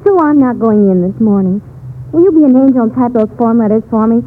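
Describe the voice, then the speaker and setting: An actress playing a whiny young Navy office worker with a cold in a 1940s radio commercial. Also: female voice, fairly high pitch, quick pace, natural voice. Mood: miserable pleading. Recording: tinny, faintly noisy old radio broadcast.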